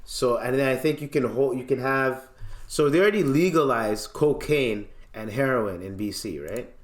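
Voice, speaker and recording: A man's voice: medium pitch, normal speed. Echoey male podcast host, playful and slangy. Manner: playful, slangy, theatrical when reading aloud, repeats words. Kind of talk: casual podcast commentary with dramatic reading of news. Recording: echoey, roomy sound.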